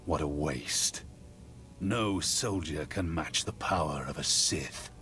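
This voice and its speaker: An actor playing a menacing, arrogant Sith lord in a video game, pompous, deliberate and full of contempt. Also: male voice, very deep voice, bright, forceful tone, soft background music, exaggerated voice. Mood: cold contempt. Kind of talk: dramatic video game villain acting.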